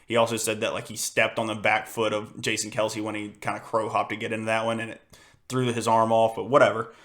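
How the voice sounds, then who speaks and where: Hoarse man, flat rambling sports talk. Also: fairly low pitch, a little fast. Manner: rambling, frustrated, many fillers and restarts. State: hoarse, losing his voice. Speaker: podcast host. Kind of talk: casual solo sports podcast.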